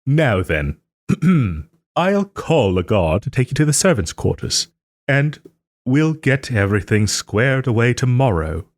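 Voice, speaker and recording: A man playing a smug but soft-hearted nobleman and former lover in an audio roleplay, suave and refined, with gentle teasing and hesitant pauses. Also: mid-range voice, sing-song, no echo, clean studio sound. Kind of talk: audio roleplay, dramatic monologue to listener.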